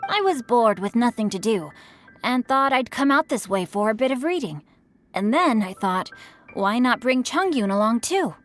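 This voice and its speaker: A woman's voice: a little high, expressive, moderate pace, faint music behind, close to an everyday voice. A woman voicing a courteous, eloquent boy, a bookish young master in a fantasy video game. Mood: breezy, friendly.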